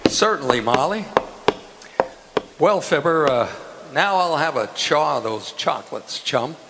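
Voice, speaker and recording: A man playing a chummy, wisecracking announcer and eager pitchman in old-time radio comedy. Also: expressive, measured pace, gravelly, heavy background noise. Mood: jocular. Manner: chummy, jocular. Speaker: show announcer. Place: live stage show in a community hall. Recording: reverberant hall stage performance.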